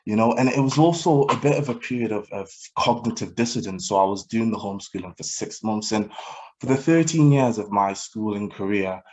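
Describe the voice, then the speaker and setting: A man in his early twenties giving a personal testimony as a guest speaker, earnest and reflective in a measured storytelling way. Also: on the low side, darkish tone, rough recording. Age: early 20s. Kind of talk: personal testimony in a public talk.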